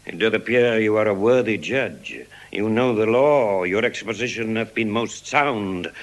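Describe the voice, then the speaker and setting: An actor playing a vengeful, gloating moneylender in classical Shakespearean style, savoring each phrase theatrically. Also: male voice, fairly low pitch, a little slow. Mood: insistent. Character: vengeful, gloating male moneylender demanding his bond. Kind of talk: classical Shakespearean stage acting.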